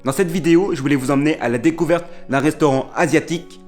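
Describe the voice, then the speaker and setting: A man's voice: a little high, fast, darkish tone. A man hosting his channel, greeting viewers and introducing the video in a friendly, direct way. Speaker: channel host. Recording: faint music behind.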